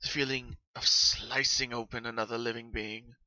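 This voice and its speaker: Very bright, dry-sounding male narrator. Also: mid-range voice, flat delivery, moderate pace, dry recording, low-quality recording. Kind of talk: dramatic reading of horror fan fiction.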